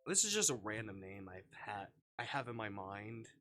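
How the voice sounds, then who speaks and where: A man's voice: on the low side, big pitch swings, moderate pace. A man livestreaming a visual novel with casual, playful, irreverent commentary and a giggly way of talking. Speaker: streamer.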